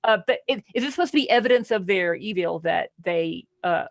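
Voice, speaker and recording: Creaky, dry-sounding voice in casual debate. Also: mid-range voice, animated, brisk, dry recording. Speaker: podcast panelist in book discussion. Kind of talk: casual book discussion.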